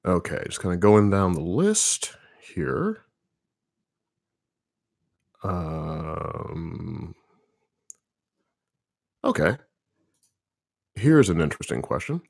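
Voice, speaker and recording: Male writer, deep low voice, relaxed and rambly, fielding fan questions, dry sound. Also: drawn-out words, gravelly, pauses often, dry recording. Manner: relaxed, rambling, thinking aloud with many fillers. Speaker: comics writer answering viewer questions. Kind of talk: casual livestream Q&A.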